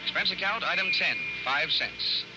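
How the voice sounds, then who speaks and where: An actor playing a wisecracking, hard-boiled American insurance investigator, sardonic and clipped, in 1940s radio style. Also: male voice, sits high, expressive, moderate pace, over loud music, poor audio. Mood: wry, businesslike. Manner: sardonic, clipped, dry wit. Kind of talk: 1940s radio acting, first-person narration. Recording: vintage 1940s radio recording.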